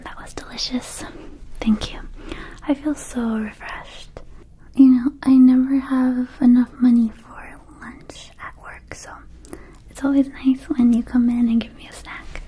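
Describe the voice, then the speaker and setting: A woman voicing a small, friendly vampire shopkeeper in an ASMR roleplay, whispering gently and haltingly to the listener as a close friend. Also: high voice, slight background noise. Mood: grateful, friendly. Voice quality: soft, breathy whisper. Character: small friendly female vampire shopkeeper, quirky, needy, affectionate. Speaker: ASMR performer.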